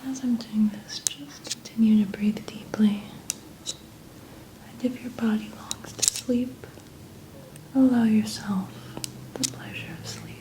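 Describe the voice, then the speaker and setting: A woman whispering in a slow, soothing manner in an ASMR roleplay as a healing practitioner. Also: a little high, steady tone, low-quality recording.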